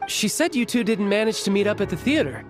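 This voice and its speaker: A man's voice: high-pitched, lively intonation, fast, soft background music. A man voicing a cheerful, teasing young big brother in a game cutscene, breezy and familiar. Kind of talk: animated game cutscene acting.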